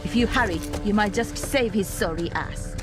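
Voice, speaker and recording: A woman's voice: medium pitch, normal speed, over music, lightly acted. A woman playing a tough, jaded, sardonic local girlfriend of a gambler in a video game. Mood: sly, brusque. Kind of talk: video game cutscene acting.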